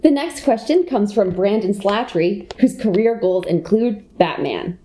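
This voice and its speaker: A woman's voice: medium pitch, expressive, unhurried, dark tone, slight background noise, close to an everyday voice. A young American woman playing a prim schoolgirl who moderates an elementary school debate, formal and orderly, in a comedy radio play. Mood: formal, composed. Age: young adult.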